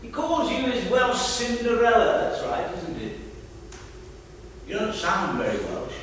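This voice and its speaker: Actor doing a drunk posh party guy on stage, sly and teasing, high lively voice, echoey hall from far off. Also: measured pace, slight background noise, full character voice. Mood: prying, teasing. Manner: theatrical, sly, drawling with sudden outbursts. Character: drunk posh upper-class man, nosy and teasing. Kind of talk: stage play performance. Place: theatre stage.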